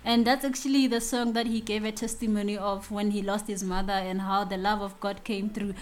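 A woman interviewer asking polite questions, hesitant and filled with pauses. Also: medium pitch, level tone.

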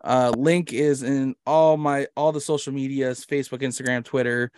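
A man's voice: mid-range voice, fairly even intonation, moderate pace, darkish tone, clear, resonant tone, no echo, studio quality. A man with a Canadian accent hosting a podcast in casual chat, rambling, jokey and self-deprecating. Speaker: podcast host.

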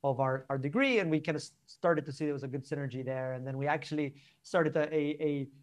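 A man's voice: a little high, fast talker. A young man with a Spanish accent, chatting informally and discursively as a podcast guest, often checking in with "right?". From Barcelona, Spain. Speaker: podcast guest, startup co-founder. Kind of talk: casual interview conversation.

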